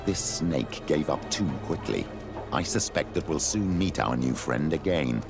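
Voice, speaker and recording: An actor playing an older missionary priest in a video game, formal, measured and dry, with a gravelly voice. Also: male voice, extremely low pitch, expressive, over music, heavily acted. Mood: wary.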